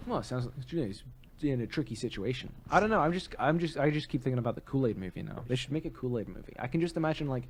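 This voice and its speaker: A man's voice: medium pitch, animated, very fast. A man co-hosting a podcast, chatting casually about film and TV. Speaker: podcast host. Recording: faint background noise.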